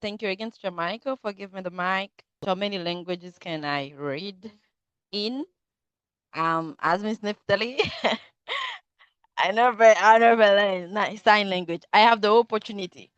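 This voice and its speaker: A woman's voice: medium pitch, normal speed, smooth, no echo, highs cut off. A woman in her thirties from Haiti, a Haitian Creole speaker with a Haitian accent, giving a rambling answer with frequent laughs and fillers during a video-call conversation class. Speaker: student participant. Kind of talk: casual conversation club answer.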